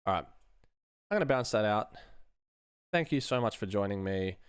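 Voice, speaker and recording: A man's voice: lowish voice, animated, moderate pace. A man in his thirties thinking aloud as a sound designer at work, talking casually in short muttered fragments into a close mic. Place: small indoor room at home. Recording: loud music over the voice. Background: loud music.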